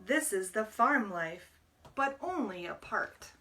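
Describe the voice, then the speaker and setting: A woman reading a picture book aloud to young children as a library storyteller, deliberately, pausing to explain words. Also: mid-range voice, lively intonation, a bit bright, recorded from a distance, a little hiss or hum.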